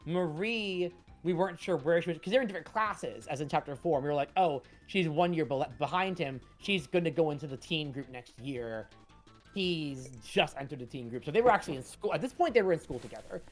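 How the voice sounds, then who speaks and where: A woman's voice: sits low, animated, fairly quick, soft background music. A woman talking casually as a comic creator hosting a read-along stream.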